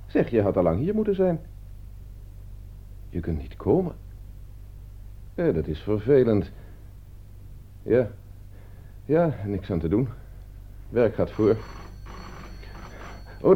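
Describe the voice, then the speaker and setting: An actor playing a cool, scheming nephew in a radio drama, speaking in measured, precise and polite phrases. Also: male voice, mid-range voice. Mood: casual, social. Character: cool, scheming nephew hiring a killer for inheritance. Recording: slightly dull radio play recording.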